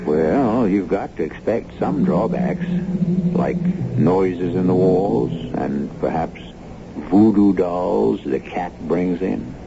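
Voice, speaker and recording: A wry, ominous friend in a vintage radio drama. He speaks slowly in a low, gravelly voice. The broadcast is muffled and hissy. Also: lively intonation, exaggerated voice. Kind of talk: old-time radio drama acting.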